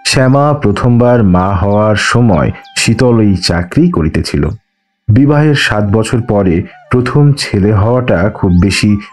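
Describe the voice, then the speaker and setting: A man narrating a literary audiobook in a measured, formal storytelling delivery. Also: lowish voice, darkish tone. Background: music.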